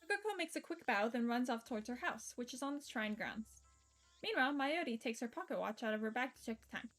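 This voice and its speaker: A woman's voice: a little high, animated, fast talker, fairly bright tone, faint music behind. A young woman streamer narrating a visual novel aloud, reading casually and breaking off into laughs and swearing.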